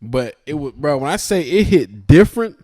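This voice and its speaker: A man's voice: a little high, moderate pace, no echo, clean studio sound. A man in his thirties co-hosting a podcast, chatting casually and telling stories with a lot of laughter. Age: 30s. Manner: animated storytelling, laughs often. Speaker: podcast co-host. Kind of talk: casual chat.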